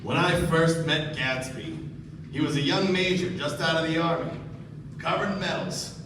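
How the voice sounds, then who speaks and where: A male actor plays a self-important, slippery underworld businessman in a radio play. The voice is on the high side and emphatic. It sounds distant and very echoey, with clear noise behind it. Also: steady tone, normal speed, close to an everyday voice. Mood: boastful. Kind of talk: live radio play acting. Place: live stage performance.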